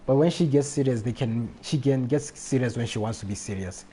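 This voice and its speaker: A man's voice: medium pitch, brisk, dark tone, a little hiss or hum, poor audio. A man talking casually as a live game streamer, rambling and often repeating his phrases. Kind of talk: casual gaming livestream chat.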